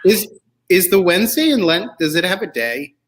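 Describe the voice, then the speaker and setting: A man's voice: high voice, sing-song, normal speed, a bit bright. A man hosting a show in a casual, chatty way, rambling and joking as he goes. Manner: rambling, jokey. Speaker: show host.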